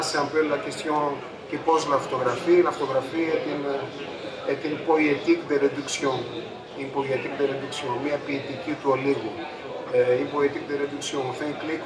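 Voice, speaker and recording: A native Greek man with a Greek accent giving a public talk, halting and repeating his phrases. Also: fairly high pitch. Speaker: photographer and panel speaker. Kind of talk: public talk at a book fair, introducing a debate. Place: busy book fair stand. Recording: echoey, distant mic, crowd noise.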